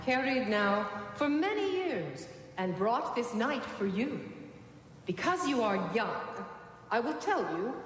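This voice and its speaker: A woman reading a dark ghost story as part of a live radio drama. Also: on the high side, big pitch swings, slow, a little hiss or hum. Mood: solemn, ominous. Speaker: actor in an ensemble reading. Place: large town hall stage. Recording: echoey hall, live, loud music.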